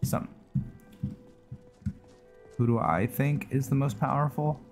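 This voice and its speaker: A man's voice: mid-range voice, takes it slowly, faint music behind. A man hosting a gaming video, giving casual roleplay commentary and thinking aloud.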